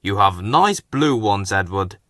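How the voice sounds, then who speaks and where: A man's voice: mid-range voice, sing-song, a little slow, a bit bright, no room sound, clean studio sound, full character voice. A man voicing a stout, firm railway boss in a storybook read-aloud, clipped and commanding. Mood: kindly. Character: stout stern railway boss, brisk and kindly.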